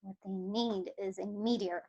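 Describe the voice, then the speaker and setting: A woman's voice: medium pitch, fairly even intonation, a little slow, dark tone, muffled recording, natural voice. A woman voicing a grudging, dry alien sidekick who dislikes humans, in a script read-through. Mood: sardonic.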